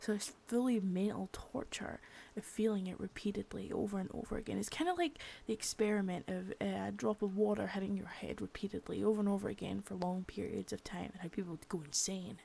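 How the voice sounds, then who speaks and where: A woman voicing a sadistic, menacing female boss in an ASMR roleplay, whispering softly with spoken threats between. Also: mid-range voice, fairly even intonation, fairly quick, vocal fry, hardly any pauses, breathy edge. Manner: taunting and threatening.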